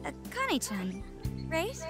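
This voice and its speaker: High-voiced earnest teenage girl. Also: huge pitch swings, slow, full character voice. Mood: apologetic. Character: kind, earnest teenage girl, sympathetic peacemaker. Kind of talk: English video game dub acting. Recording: game music behind the voice.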